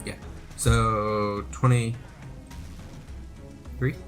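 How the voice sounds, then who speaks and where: A man's voice: on the low side, little pitch movement, drawn-out words, a bit bright, faint music behind. A man chatting casually as a player in a tabletop role-playing game.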